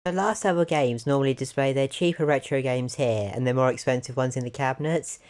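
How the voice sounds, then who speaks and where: Croaky man, chatty vlog narration. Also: medium pitch, brisk, a little mellow. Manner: chatty and enthusiastic, repeats "really, really". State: croaky from a cough and sore throat. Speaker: retro game collector vlogger.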